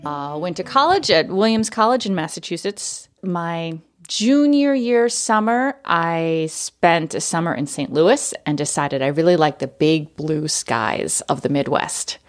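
A woman's voice: lowish voice, expressive, unhurried, hardly any pauses. A woman in her thirties from New England, a podcast guest telling her life story in casual, chatty talk, laughing easily.

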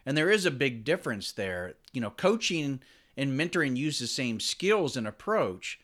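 Man, podcast host, chatty, explanatory, mid-pitched. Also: big pitch swings, normal speed, fairly bright tone. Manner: explanatory, rambling questions. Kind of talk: conversational interview.

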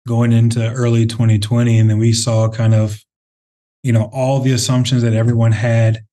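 A man talking through interview answers in a warm, mellow voice that stays fairly level in pitch. He speaks thoughtfully, pausing often with fillers and restarting phrases. He comes across as a company head explaining his work. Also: level tone. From Minnesota, Twin Cities. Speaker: real estate CEO, interview guest.

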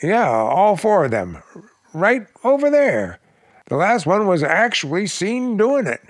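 An actor playing a plain-spoken, practical real estate agent in a 1940s radio drama. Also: male voice, sits high, very animated, a little slow, dry recording, clean studio sound. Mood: insistent. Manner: matter-of-fact, emphatic storyteller.

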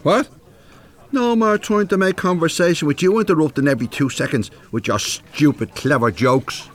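A man's voice: a little high, moderate pace, natural voice. An actor playing a plain-spoken, easily irritated older man with a Dublin accent in a radio drama. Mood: earnest, grumbling. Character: rough-edged, easily irritated older widowed working man.

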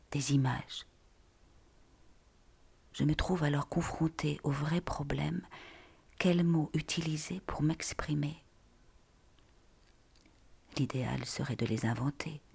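A woman reading literary texts in a breathy, whispery voice with deliberate, measured phrasing. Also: a bass voice, darkish tone.

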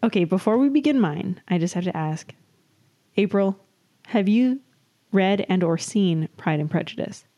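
A woman's voice: medium pitch, expressive, normal speed, mellow, lo-fi sound. Giggly woman co-hosting a casual podcast. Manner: giggly, playful, self-correcting. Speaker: podcast co-host. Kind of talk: casual banter between co-hosts.

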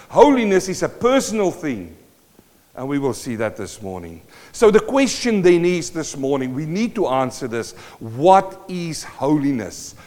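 A South African-born man preaching a sermon, emphatic and full of rhetorical questions to the congregation. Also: fairly high pitch. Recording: long hall reverb.

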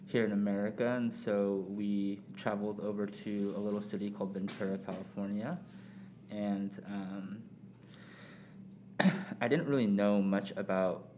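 A young man born in South Korea speaks as a podcast guest. His voice is low and mellow, with a flat, even delivery. He talks thoughtfully, pausing often and filling gaps. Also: a little hiss or hum. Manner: thoughtful, measured, many pauses and fillers. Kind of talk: casual reflective conversation.

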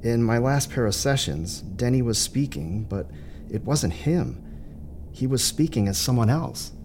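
A man playing an earnest, troubled psychiatrist in an audio drama, speaking in a measured, polite, halting way. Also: mid-range voice, expressive, a little mellow, slight background noise, close to an everyday voice. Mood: hesitant, troubled. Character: earnest, hesitant male psychiatrist, troubled but professional.

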